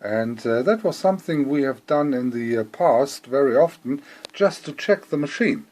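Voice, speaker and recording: Halting man with a mellow, darker voice. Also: mid-range voice, animated. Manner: hesitant, halting, repeats phrases for emphasis. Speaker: hobbyist presenter demonstrating vintage audio gear. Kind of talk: informal unscripted demonstration.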